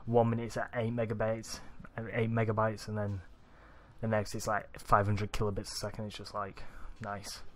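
A young man with a Manchester accent, rambling excitedly over a video game, his morning voice groggy and deep. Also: moderate pace, slight background noise. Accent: Manchester English. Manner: rambling, excitable, swears often. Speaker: let's play gamer. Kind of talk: casual gameplay commentary.

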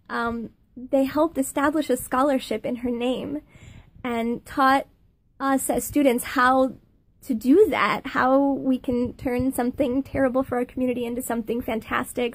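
A woman in her thirties with a high-pitched voice, recording a personal video message, earnest and halting with many pauses. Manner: earnest, halting, many fillers, laughs between phrases. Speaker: former student giving a thank-you testimonial.

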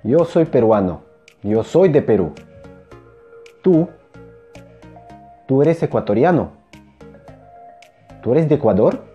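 Young guy teaching a lesson, super mellow dark voice, really swingy intonation, talks slow. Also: medium pitch, muffled recording, soft background music. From Peru. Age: young adult. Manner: animated, emphatic, repeats phrases slowly. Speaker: Spanish teacher. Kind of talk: language lesson to camera. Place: small indoor room, plain wall. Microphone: clip-on lapel mic.

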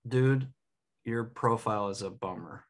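A man's voice: lowish voice, measured pace, natural voice. A man playing a laid-back, supportive buddy in his thirties, casual and easygoing, at a comedy table read on a video call. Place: home room on a video call.

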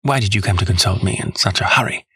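A man's voice: low-pitched, quick pace, pushed and bright, breathy edge, no room sound, studio quality, exaggerated voice. A man voicing a shrewd, composed detective in an audiobook, speaking precisely and with assurance. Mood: probing, calm. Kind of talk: audiobook character voice.

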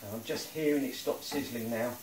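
A man's voice: mid-range voice, fairly quick, a little hiss or hum. A middle-aged man giving step-by-step cooking instructions in his home kitchen, filmed by a phone camera across the room. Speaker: home cook. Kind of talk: cooking demonstration. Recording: roomy kitchen sound from a distance. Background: sizzling pan and boiling water.

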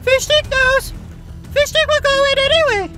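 A naive young fish prince, played by a woman, chirps in a very high, squeaky voice. He earnestly refers to himself in the third person, sounding sweet and childlike, with music playing behind. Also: fairly even intonation, normal speed. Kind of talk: fan-made animated short film.

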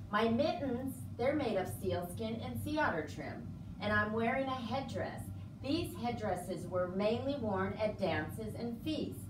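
A woman voicing a proud, cheerful puppet woman who explains things to an audience with exclamations and questions in an educational show. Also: on the high side. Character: proud, cheerful Chugach Sugpiaq woman puppet in fur parka. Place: indoor room, puppet stage. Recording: roomy, distant mic, faint noise.

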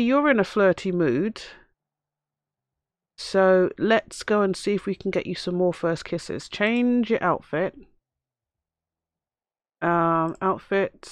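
A woman with a hoarse voice from a cough, chatting casually as she plays a life-sim game and talks to her characters. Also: lowish voice, no echo, clean studio sound. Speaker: let's play host. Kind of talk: casual gameplay commentary.

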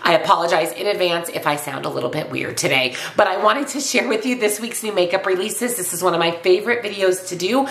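Woman w a cold, raspy nasal voice, kinda low, chatty makeup talk in an echoey room. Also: fairly bright tone. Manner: chatty, reads product copy aloud. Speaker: beauty YouTuber. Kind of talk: casual makeup review. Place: home beauty room with shelves, indoors.

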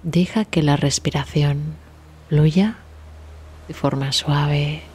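A woman leading a guided relaxation meditation in a soft, breathy, near-whispered voice, soothing and gentle, with long pauses. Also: low-pitched. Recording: steady ambient sea sound behind voice. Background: sea waves ambience.

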